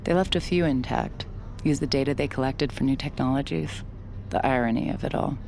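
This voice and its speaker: An actress playing a young adult mind reader in an audio drama, narrating her thoughts quietly and reflectively, sometimes in a whisper. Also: extremely low pitch, a little fast, creaky, a little hiss or hum. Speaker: mind-reading lead character.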